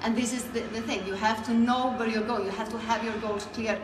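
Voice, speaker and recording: A woman's voice: fairly high pitch, little pitch movement, a little fast. A middle-aged woman from Iceland with an Icelandic accent, telling their own story conversationally as an interview guest. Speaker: network marketer being interviewed. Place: small indoor room. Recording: echoey room, clear background noise.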